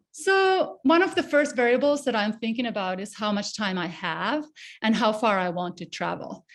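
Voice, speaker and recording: A middle-aged woman from Finland with a Finnish accent, giving an online presentation in a casual, conversational way with fillers, over a computer mic. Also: medium pitch. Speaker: guest speaker, avalanche researcher.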